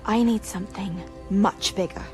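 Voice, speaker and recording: Actress as a self-assured witch, deliberate and solemn delivery, medium-pitched, game cutscene drama, music behind. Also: natural voice. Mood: assured, secretive. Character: driven, self-assured young witch and magical researcher.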